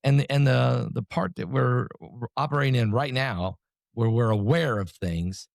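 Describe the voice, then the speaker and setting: A man hosting a podcast, asking questions in a rambling, quick-paced way. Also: medium pitch, gravelly, darkish tone, dry recording, studio quality. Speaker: podcast host. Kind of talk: casual interview questions.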